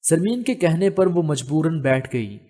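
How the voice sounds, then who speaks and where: A male audiobook narrator with a fairly high voice reads a romantic novel. His delivery is measured and literary, like scripted storytelling. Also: expressive, mellow.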